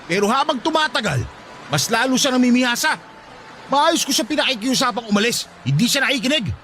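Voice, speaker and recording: An irritable Filipino husband who runs a shop in a radio drama. His voice is very high and rushes along, indignant and scolding. It swings with feeling over a faintly noisy outdoor background. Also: very animated, pushed and bright, exaggerated voice. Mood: indignant, defensive. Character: irritable, callous husband running a hardware shop.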